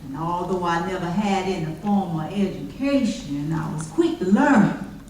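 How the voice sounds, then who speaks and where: A woman's voice: fairly low pitch, unhurried, darkish tone, close to an everyday voice. A woman performing a plainspoken formerly enslaved storyteller in folksy dialect, as a readers theater monologue. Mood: reflective. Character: formerly enslaved houseboy-servant recalling childhood, plainspoken. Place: chapel stage, distant microphone. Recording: echoey chapel from far off, noisy.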